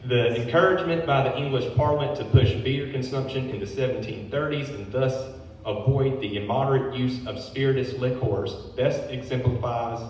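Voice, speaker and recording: A man's voice: mid-range voice, a little mellow. A man, a brewery owner, giving a public history talk, reading from notes with casual asides. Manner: informal asides between read passages. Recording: very echoey hall, distant mic, faint noise.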